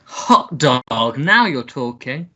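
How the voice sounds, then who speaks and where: A woman playing a foppish, childish upper-class English gentleman in a comic melodrama radio play, pompous and theatrical. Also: very deep voice, very animated, takes it slowly, highs cut off, exaggerated voice. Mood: gushing. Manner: pompous, theatrical, babyish gushing.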